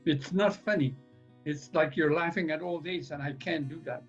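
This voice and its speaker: A man's voice: fairly high pitch, moderate pace, highs cut off, faint music behind, natural voice. A man in his seventies playing a proud, resentful elderly husband in an audio play, protesting indignantly. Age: 70s. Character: proud, resentful 77-year-old husband losing his driving. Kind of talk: audio play stage acting.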